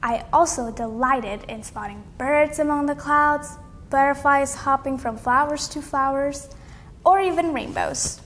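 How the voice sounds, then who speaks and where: High-voiced young woman reading slowly. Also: a bit bright, big-room sound, a little hiss or hum. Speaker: read-aloud competition contestant. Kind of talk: expressive storybook read-aloud.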